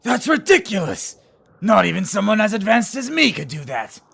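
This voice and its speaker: A stern alien war leader in an animated story, acted by a man. The voice sits a little high for a male and is thick with gravel and fry. The delivery is gruff, impatient and commanding. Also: normal speed, full character voice. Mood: defiant, alarmed. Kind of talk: amateur animation voice acting.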